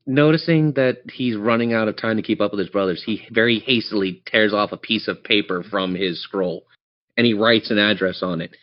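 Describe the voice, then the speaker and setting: A man's voice: on the low side, normal speed. A man working as game master, improvising narration for a tabletop game over slightly muffled audio.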